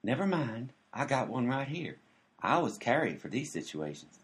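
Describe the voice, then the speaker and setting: A man playing a stubborn, unhurried deliveryman with a deadpan manner in a comedy radio play. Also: mid-range voice, noisy background, natural voice.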